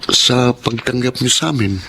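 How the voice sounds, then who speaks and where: A man's voice: medium pitch, moderate pace, vocal fry, bright, forceful tone, slight background noise. An actor playing a proud, hardworking young Filipino husband and father in a radio drama, speaking earnestly and insistently. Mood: respectful, grateful.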